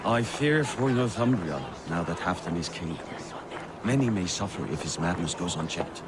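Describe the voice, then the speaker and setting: An actor playing a proud, bitter banished Viking warrior in a video game, grave and measured. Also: male voice, sits low, animated, background noise. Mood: grave, resigned. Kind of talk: dramatic video game acting.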